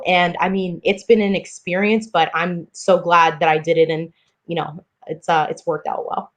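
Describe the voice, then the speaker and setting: A woman from the Dominican Republic, a podcast guest telling her story candidly with frequent ums. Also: lowish voice. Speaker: podcast guest, part-time real estate investor. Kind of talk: casual interview talk.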